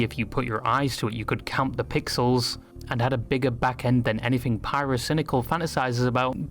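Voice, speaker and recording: A man delivering scripted satirical narration in a sarcastic, crude, hyperbolic way. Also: mid-range voice. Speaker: video essay narrator. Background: background music.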